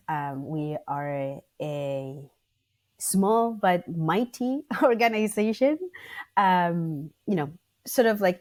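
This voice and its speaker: Low-voiced woman from Spain. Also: a little mellow, no room sound. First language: Spanish. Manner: chatty, many fillers, self-correcting. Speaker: guest, arts managing director. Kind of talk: casual interview answers.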